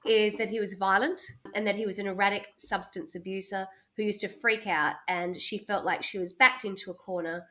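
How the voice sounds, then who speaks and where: A woman's voice: mid-range voice, fairly even intonation, a little fast, few pauses, a bit bright. A woman hosting a podcast, telling a true crime story in a chatty way and laughing often. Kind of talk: casual chat, storytelling.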